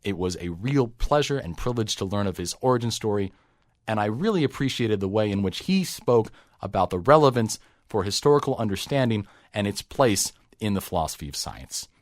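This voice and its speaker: Lively male podcast host. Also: fairly low pitch, brisk, dry recording, clean studio sound. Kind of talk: scripted intro and interview questions.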